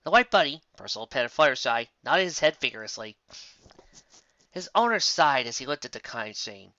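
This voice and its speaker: Amateur fan fiction narrator: lively, bright delivery, dry close mic, slightly dull sound. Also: mid-range voice, a little fast, no room sound. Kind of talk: animated story narration with character voices.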